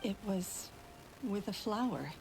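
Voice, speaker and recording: Gentle, wistful widow over music. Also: female voice, medium pitch, lively intonation, slow pace, creaky, low-quality recording. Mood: fond reminiscing. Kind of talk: anime video game dramatic acting.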